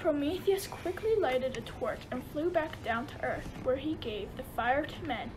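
A woman's voice: high-pitched, moderate pace, noisy background. A woman giving scripted storytelling narration for a radio play.

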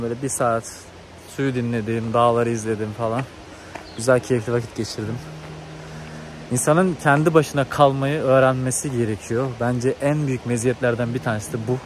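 A man's voice: mid-range voice, brisk. A man, chatting informally to camera as a travel vlogger outdoors. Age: 20s. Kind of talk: casual chat to camera. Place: outdoors on trails and in a village. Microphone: phone or action camera at arm's length. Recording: outdoor ambience and background noise.

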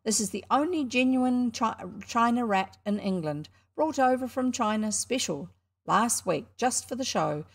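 A woman voicing a cocky young schoolboy showman, loud and indignant as he hawks his show. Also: on the high side, animated, a little slow, pitched above the performer's own voice. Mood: boastful.

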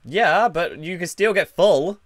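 A young man playing Gizzy, a silly dead hotel worker, teasing and excitable. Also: high-pitched, unhurried, no room sound, studio quality. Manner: goofy, teasing, sudden squeals. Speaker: roleplay voice actor. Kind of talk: improvised Minecraft roleplay. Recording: faint game music behind.

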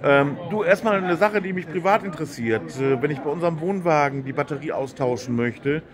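A man's voice: fairly high pitch, fairly quick, crowd noise. Chatty male trade fair interviewer. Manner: chatty, friendly, many agreeing "ja". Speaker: host. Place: exhibition hall.

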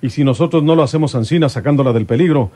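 Man acting mellow, rustic Mexican country detective. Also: mid-range voice, racing, natural voice. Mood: indignant. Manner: rustic, deferential yet stubborn speech. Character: plain-spoken, vengeful rural detective from the countryside. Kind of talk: radio drama acting.

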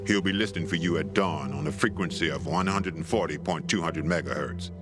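A man playing a weathered, gruff but big-hearted middle-aged pilgrim in a post-apocalyptic video game, laid-back, teasing and wistful. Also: low-pitched, fairly quick, close to an everyday voice. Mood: matter-of-fact. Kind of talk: naturalistic video game dialogue acting. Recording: music under the dialogue.

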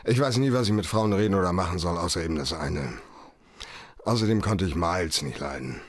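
An actor playing a tough, cynical private detective in a laconic, wry way in a German radio crime drama. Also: male voice, deep voice, animated, brisk. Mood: gruff, weary. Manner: laconic, wry, hard-boiled.

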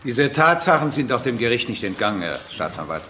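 A man's voice: medium pitch, big pitch swings, brisk, pushed and bright, slight background noise. An actor playing a composed male presiding judge, formal and businesslike, in a German courtroom radio play.

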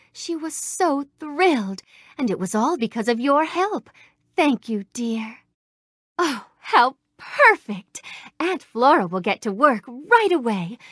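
A woman voicing a gentle young princess in a game, with a soft, sweet, refined voice and a polite, gracious way of talking, recorded close in a studio. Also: a little high, no echo, exaggerated voice. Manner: polite, gracious, warmly grateful. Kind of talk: game voice clips. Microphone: close studio mic.

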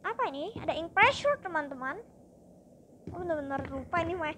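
A young Indonesian woman chats casually through a video game. Her voice is extremely high and bright, over faint noise and game ambience. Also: expressive, brisk. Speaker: gaming streamer. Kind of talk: casual let's play commentary, reading aloud and translating.

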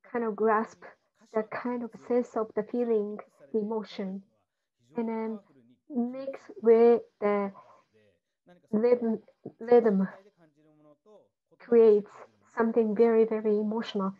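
A woman doing simultaneous interpretation on an online call, talking haltingly with frequent fillers and restarts. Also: a little high, flat delivery. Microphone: video call. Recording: muffled video-call audio.

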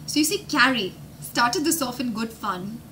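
Bright, high-voiced woman storyteller. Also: lively intonation, normal speed, slight background noise. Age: young adult. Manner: dramatic, emphatic, explains words with synonyms. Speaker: children's English teacher and storyteller. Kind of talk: animated storytelling lesson with explanations. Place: indoor room with glass-fronted bookshelves.

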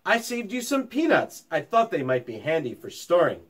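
Dude putting on a droopy sick little kid, lifts his pitch up a bit. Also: normal speed, exaggerated voice. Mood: gentle. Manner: sad and flat. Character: young boy feeling sick and listless.

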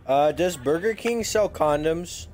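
A man's voice: fairly high pitch, lively intonation, a little slow, a little mellow. A young man making prank calls as a video host, chatting casually in a slangy, jokey way, his voice cracking at times. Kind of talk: casual prank-call chat.

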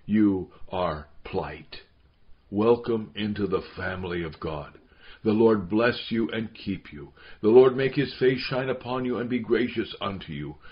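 Male narrator as a devout old man, low-voiced, solemn liturgical delivery for a storybook, slightly muffled lo-fi sound. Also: natural voice. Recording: dull, lo-fi sound.

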